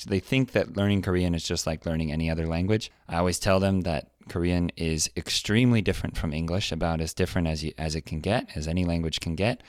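A man co-hosting a podcast, musing out loud in a hesitant way with many restarts. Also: low-pitched, no room sound, clean studio sound. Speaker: podcast co-host. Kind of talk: casual podcast chat.

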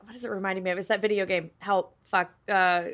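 A woman's voice: mid-range voice, fairly even intonation, fast talker, a bit bright. A woman chats casually in a mid-range voice. She sounds playfully exasperated and jokes at her own expense. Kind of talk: casual chat.

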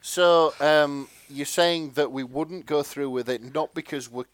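A man's voice: on the high side, measured pace, clean tone, dry recording. A man co-hosting a podcast in casual conversation. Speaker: podcast co-host. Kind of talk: casual chat.

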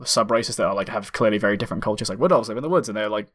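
A man's voice: fairly low pitch, racing, fairly bright tone. A man co-hosting a casual gaming podcast, talking in a rambling, self-deprecating way. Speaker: podcast co-host. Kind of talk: casual tabletop gaming chat.

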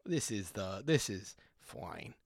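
Male podcast host, very animated pitch, dry close sound, relaxed. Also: slow pace, creaky, no room sound. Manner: laid-back, laughs easily, interviewer. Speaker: podcast host and game master. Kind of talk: hosting a cast Q&A.

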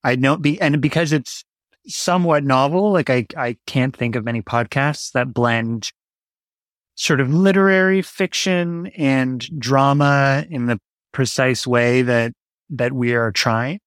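A man's voice: medium pitch, measured pace, a bit bright, no room sound, studio quality. A man speaking as an interview guest in a casual, reflective way, tentative and often correcting himself. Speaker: podcast guest, writer and creator.

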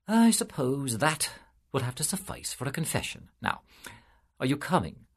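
A man voicing a patient, weary male angel, speaking in a calm, measured, softly solemn way with a husky voice. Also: mid-range voice, pitched above the performer's own voice.

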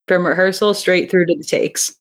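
A woman's voice: on the low side, fairly even intonation, moderate pace. A young adult woman chatting casually as an interview guest, with a giggly, talkative manner. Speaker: interview guest, audio drama writer and director.

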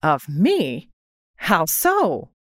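A woman's voice: deep voice, wildly swooping pitch, extremely slow, no echo, full character voice. Woman narrator as genteel wealthy lady, reserved, polite, emphatic, dry close recording, storybook dialogue. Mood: puzzled. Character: genteel, well-off lady, reserved yet sympathetic.

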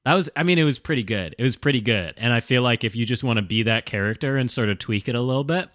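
A man's voice: medium pitch, animated, fast, a bit bright, no echo, clean studio sound. A man hosting a casual comedy podcast, teasing and mock-serious in his banter. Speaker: podcast host.

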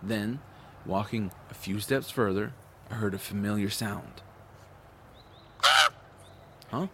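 A man's voice: fairly low pitch, slow pace, pushed and bright, a little hiss or hum, heavily acted. A man playing an adventurous, skeptical young boy in an audiobook drama, telling his story expressively. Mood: startled, urgent. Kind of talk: audiobook first-person narration and acted dialogue.

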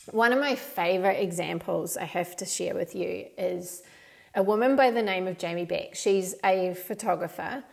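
A woman with a mixed New Zealand and Australian accent, hosting a solo podcast and talking in an animated, emphatic way. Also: medium pitch, gravelly. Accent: New Zealand with some Australian. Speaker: podcast host.

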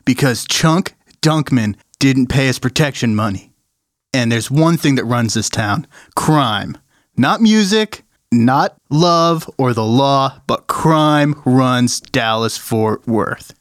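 A man's voice: mid-range voice, lively intonation, slow, no room sound, studio quality. A man voicing a menacing male ninja gang leader who bullies and taunts with snarling threats.

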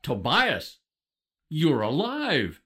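An actor playing an excitable, devout father in a religious audio drama. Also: a little high, extremely slow, slightly rough, heavily acted. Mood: joyful praise.

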